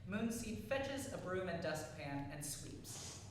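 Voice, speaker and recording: A woman's voice: lowish voice, big pitch swings, takes it slowly. A woman reading scripted stage directions as the narrator of a live play. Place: indoor theatre. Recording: distant mic in echoey, noisy theatre.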